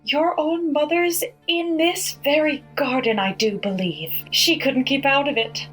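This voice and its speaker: A woman's voice: high voice, measured pace, fairly bright tone, soft background music, poor audio. A kindly Yorkshire mother. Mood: tender. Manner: soothing, motherly dialect speech. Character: warm country mother, kindly, plain-spoken.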